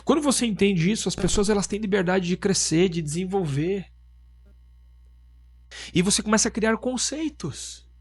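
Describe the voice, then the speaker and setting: Husky, emphatic Southern Brazilian man. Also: high-pitched, expressive, normal speed, long pauses. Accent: Southern Brazilian Portuguese (Rio Grande do Sul). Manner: emphatic, asks rhetorical questions. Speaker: business owner guest. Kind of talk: casual podcast conversation.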